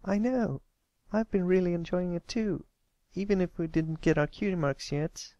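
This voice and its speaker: A man's voice: high-pitched, normal speed, warm tone, no room sound, lo-fi sound, pitched above the performer's own voice. A man voicing a cheery, friendly young filly pony with an encouraging manner, in a storybook reading.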